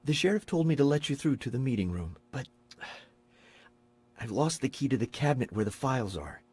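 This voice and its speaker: An actor playing a meek, sensitive sheriff's assistant in a video game, hesitant and apologetic. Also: male voice, mid-range voice, sing-song, fairly quick, a little mellow, husky. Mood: flustered. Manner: hesitant, apologetic, eager to help. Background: game music.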